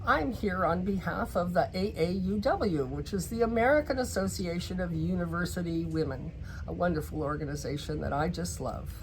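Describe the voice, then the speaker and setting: A woman reads a picture book aloud to children, pausing for emphasis and speaking directly to her young listeners. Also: on the low side, a little mellow, slight background noise. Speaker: children's story reader. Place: bookstore in a shopping center.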